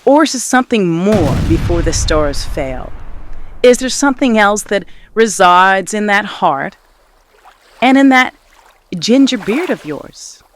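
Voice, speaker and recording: Slow, knowing siren of the sea voiced by a woman. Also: medium pitch, sing-song, slight background noise. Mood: challenging, seductive. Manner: deliberate, probing, theatrical. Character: alluring, knowing, challenging female siren of the sea. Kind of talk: mythic fiction audio drama acting.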